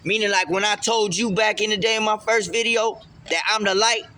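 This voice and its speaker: A man's voice: high-pitched, fairly even intonation, a little fast, sharp tone. A man speaking emphatically in street slang in a casual spiritual vlog monologue, often repeating phrases for emphasis. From Puerto Rican heritage. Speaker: vlogger.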